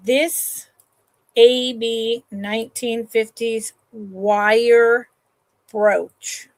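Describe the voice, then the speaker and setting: Slow, relaxed woman hosting a live sale. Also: a little high, no room sound. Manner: chatty, unhurried, trails off and restarts sentences; affectionate to viewers, calls them honey and sweetheart. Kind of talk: slow, relaxed live-stream sales chat.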